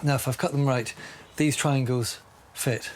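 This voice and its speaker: A man's voice: medium pitch, steady tone, unhurried. A man explaining his craft work aloud, unscripted, with dry, self-deprecating humour. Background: music.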